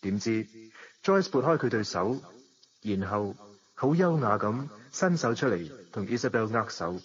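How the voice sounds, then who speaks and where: An actor playing a suave, romantic bachelor, measured and indulgent, in a Hong Kong Cantonese radio drama. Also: male voice, fairly low pitch, very animated, breathy edge, highs cut off, full character voice. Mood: reflective. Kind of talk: radio drama dialogue and reflective narration.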